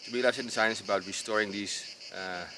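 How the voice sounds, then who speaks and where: A man with a Dutch accent, speaking slowly and unevenly in a deep, low voice. He explains his ideas casually, pausing often with fillers and starting sentences over. Crickets and insects chirp clearly behind him outdoors. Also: steady tone, noisy background. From Netherlands. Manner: hesitant, with many fillers and restarts. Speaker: designer explaining his approach. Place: outdoors in rural mountains.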